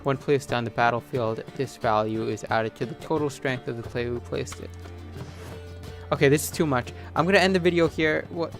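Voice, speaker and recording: A man's voice: mid-range voice, moderate pace. A man giving casual commentary as he plays a video game, at times reading on-screen text aloud. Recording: game music behind the voice.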